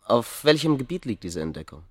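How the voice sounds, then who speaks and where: An actor playing a scholar's sceptical friend, formal and probing, in a German radio play. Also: male voice, medium pitch, big pitch swings, fairly quick, darkish tone. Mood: wry, curious.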